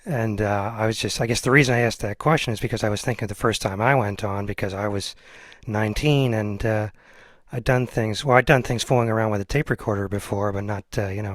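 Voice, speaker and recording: A man with a lowish voice in a very dry recording, hosting a relaxed chat. He interviews casually, hesitating and rambling, and is modest about himself. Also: dry recording. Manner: hesitant, rambling, self-deprecating. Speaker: interviewer, show host.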